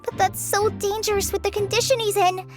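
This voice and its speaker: A woman voicing a tiny, excitable female companion creature who frets in quick exclamations, in game dialogue. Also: over music. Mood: worried. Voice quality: very high, childlike voice.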